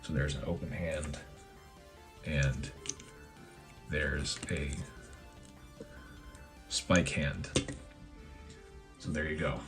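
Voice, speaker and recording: A man with a hoarse voice reviewing a collectible figure in a casual, rambling way, full of fillers and self-corrections. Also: low voice. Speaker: toy reviewer.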